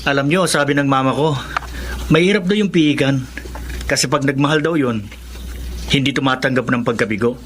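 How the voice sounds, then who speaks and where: A man's voice: medium pitch, brisk, long pauses, fairly bright tone, natural voice. Actor as an adventurous young Filipino man, faint noise. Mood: bantering. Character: adventurous, inquisitive young city man, loyal friend. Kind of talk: Filipino radio drama acting and monologue.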